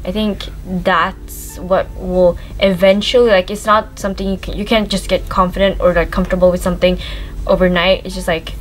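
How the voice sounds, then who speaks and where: A twenty-year-old woman from Sweden co-hosting a casual chat, relaxed and full of slang and "like". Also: fairly low pitch, a little fast, soft background music, poor audio. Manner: relaxed, trendy slang, many "like" fillers. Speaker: YouTube co-host.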